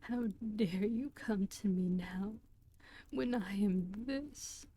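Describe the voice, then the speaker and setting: A woman whispering the lines of a bitter, tearful middle-aged woman outlaw in a storybook reading, sharp and reproachful. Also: mid-range voice, takes it slowly.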